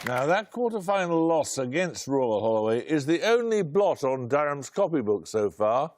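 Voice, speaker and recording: Brisk, dry-witted quiz host man. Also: fairly high pitch, sing-song, a little mellow, some chatter behind. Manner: brisk, dry and wry, precise diction. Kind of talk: formal quiz presenting, reading questions.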